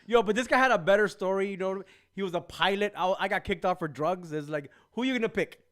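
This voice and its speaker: A man's voice: high-pitched, quick pace, fairly bright tone. A man from Cuba talking as a guest on a casual podcast, brash and profane, laughing and talking over the host. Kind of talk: casual chat.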